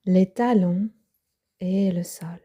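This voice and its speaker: A woman yoga teacher guiding a yoga nidra relaxation, speaking softly with breathy, half-whispered phrases. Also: lowish voice. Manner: slow, soft-spoken guidance with breathy, half-whispered phrases.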